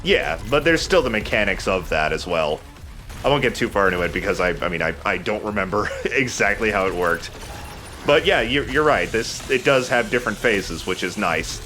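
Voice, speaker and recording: A man's voice: fairly low pitch, animated, normal speed, pushed and bright, faint music behind. A man hosting a let's play, chatting casually and agreeably about the game as he goes. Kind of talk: casual gameplay commentary.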